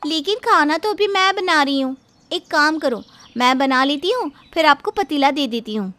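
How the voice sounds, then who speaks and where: A woman's voice: sits high, animated, moderate pace, noisy background. A woman playing a quarrelsome, indignant younger daughter-in-law in a story, whiny and argumentative with exaggerated delivery. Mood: placating. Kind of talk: animated Hindi moral story dubbing.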